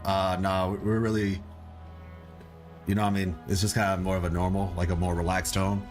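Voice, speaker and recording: A man's voice: low-pitched, flat delivery, normal speed, faint music behind. A man chatting casually on a game stream, rambling with lots of fillers in a laid-back way. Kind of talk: casual live-stream chat.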